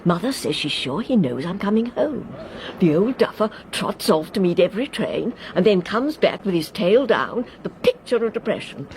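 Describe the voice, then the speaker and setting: An actress playing a chatty, kindly, plucky English spinster governess in a radio drama, with a fluttery, genteel, effusive manner. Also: female voice, mid-range voice, very animated, normal speed, darkish tone. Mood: fond, cheerful. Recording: clear train and vehicle noise behind. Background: train ambience.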